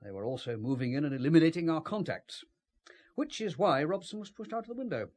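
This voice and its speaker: An actor playing a cagey, ruthless British spymaster in an audio drama, with formal, understated speech and a husky voice. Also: male voice, fairly high pitch, sing-song, fast, darkish tone. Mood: smooth, calculating.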